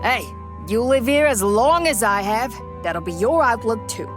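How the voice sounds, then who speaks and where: Casting: folksy, no-nonsense older barkeep, world-weary yet motherly, fairly high voice, game dialogue over clear music. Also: expressive, unhurried. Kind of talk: visual novel character acting. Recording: music behind the voice.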